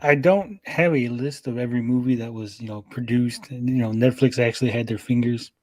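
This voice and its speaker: A man chatting casually on a panel in a blunt, halting, sweary way. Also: mid-range voice, steady tone, no echo. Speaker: podcast panelist. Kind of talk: casual chat.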